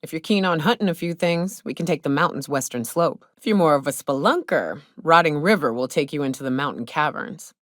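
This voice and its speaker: An actress voicing a brash, hard-drinking big game hunter in a video game, with folksy, slangy, sardonic talk. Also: female voice, deep voice, expressive, brisk, hardly any pauses, dry recording, studio quality. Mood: matter-of-fact. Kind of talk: video game character dialogue.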